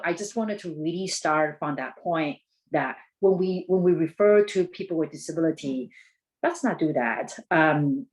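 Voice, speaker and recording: Female presenter, Taiwanese accent, slightly low voice. Conversational advocacy talk, halting and informal, with frequent rephrasing. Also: low-quality recording.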